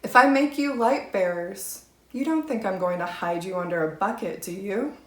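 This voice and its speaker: Lady reading bible passage out loud, mid pitch, pretty echoey room. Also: moderate pace. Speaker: scripture reader. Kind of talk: scripture reading aloud. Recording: roomy, echoing space.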